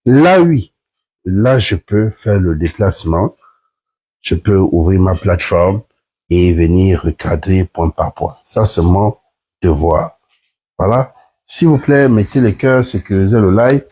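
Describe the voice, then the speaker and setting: A man's voice: low voice, animated, slow, dark tone, slightly rough. A man, sick with the flu, earnestly explaining point by point to viewers in a live stream. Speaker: platform member hosting a live stream.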